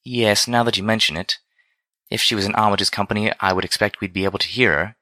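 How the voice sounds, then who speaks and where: A prim, velvety pony lady, acted out by a male narrator for a storybook. The delivery is smooth and genteel with a teasing streak, crisp and bright, recorded very dry. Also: fairly low pitch, fairly even intonation, quick pace, no echo, pitched above the performer's own voice. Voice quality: smooth, silky. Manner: formal, genteel diction.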